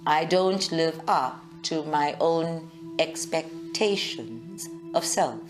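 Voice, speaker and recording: A woman guiding a live meditation in a measured, soothing way, with long pauses. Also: low voice, lively intonation, over music. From South Africa. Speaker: meditation guide. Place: group meditation session at a centre, recorded live.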